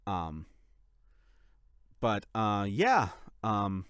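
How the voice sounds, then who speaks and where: A man's voice: lowish voice, very animated, very slow, fairly bright tone, smooth, dry recording, clean studio sound. A man talking casually and unscripted to his followers as a content creator, rambling and often restarting his sentences. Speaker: channel creator and voice actor giving a series update.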